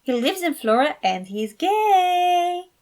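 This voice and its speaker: A woman voicing an excited, teasing teenage niece in an eager, gushing manner. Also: very high voice, takes it slowly, voice pushed up, heavily acted.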